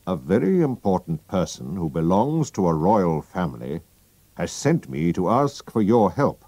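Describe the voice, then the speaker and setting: A man's voice: sits low, very animated, measured pace, dark tone, no room sound, full character voice. A man voicing a proud, anxious Bohemian king. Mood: urgent. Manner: formal, stiff and urgent. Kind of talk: storybook reading.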